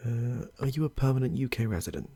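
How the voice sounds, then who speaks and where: A man playing a chatty, affable medical intake clinician in a soft-spoken ASMR roleplay, hesitant and reassuring, with a husky, gravelly voice. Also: mid-range voice, expressive, fast, noisy background, lightly acted. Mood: attentive, mildly flustered. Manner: hesitant, rambling, reassuring, murmurs to himself.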